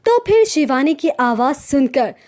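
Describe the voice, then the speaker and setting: A woman's voice: high voice, big pitch swings, a little slow, darkish tone. A woman narrator reading a scripted story.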